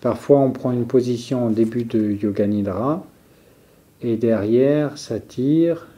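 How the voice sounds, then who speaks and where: A man leading a guided yoga nidra relaxation as a teacher, giving calm, measured instructions with pauses. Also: mid-range voice.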